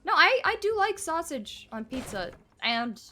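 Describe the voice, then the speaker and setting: A woman's voice: sits high, sing-song, unhurried, pushed and bright. A woman in her twenties streaming a game, chatting casually, joking and answering her chat. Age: 20s. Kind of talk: casual live gameplay chat.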